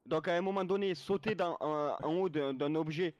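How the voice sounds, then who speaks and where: A man's voice: a little high, normal speed, lo-fi sound, background noise. A man explaining and arguing in an online role-play chat like a moderator, lecturing and often checking that he is understood. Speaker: game server moderator.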